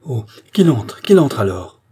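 Audiobook role: imperious, anguished queen, performed by a man, raised husky voice, breathless emphatic delivery. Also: mid-range voice, normal speed, warm tone, voice pushed up, natural voice. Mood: imperious anger. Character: proud, jealous, anguished queen, imperious and feverish. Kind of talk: dramatic audiobook character acting.

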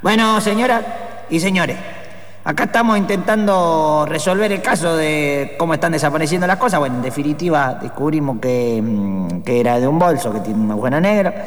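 A man's voice: a little high, fairly quick, natural voice. A man playing an affable, bumbling police officer, polite and hesitant, repeating himself in improvised radio comedy. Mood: announcing.

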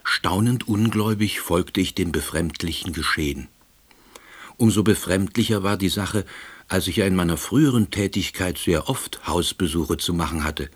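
A middle-aged ex-official narrating an audio drama. His voice is very low and husky, with a dry, deadpan delivery and a wry, wordy manner. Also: moderate pace. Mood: puzzled, wry. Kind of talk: scripted first-person narration.

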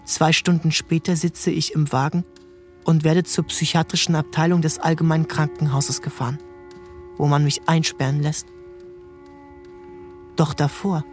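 Young man narrating a troubled youth, calm. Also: a little high, natural voice. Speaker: first-person narrator. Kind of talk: literary radio drama narration.

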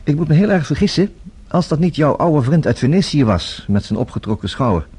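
An actor playing a sceptical, urbane bachelor journalist in a Dutch radio play, drily casual with small hesitations. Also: male voice, fairly high pitch, big pitch swings, fairly quick, a little mellow, husky. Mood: confiding.

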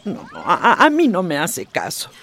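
A woman's voice: fairly low pitch, wildly swooping pitch, moderate pace, fairly bright tone, heavily acted. A woman playing a worried, fretful older mother in a radio drama.

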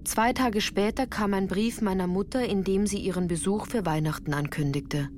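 An actress playing a sensitive woman recalling her youth, narrating a literary radio drama in a measured, reflective way. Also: mid-range voice, a little mellow, a little hiss or hum, lightly acted.

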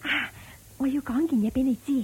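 A high-voiced teasing elder sister. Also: female voice, fairly even intonation, a little fast, husky. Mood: eager. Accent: Hong Kong Cantonese. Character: caring, teasing elder sister, humble seamstress. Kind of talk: Cantonese radio drama acting.

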